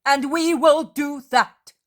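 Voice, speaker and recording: A woman's voice: sits high, fairly even intonation, slow, a bit bright, voice pushed up. A woman voicing Mary, a fierce and devout woman who speaks in a thundering, commanding voice.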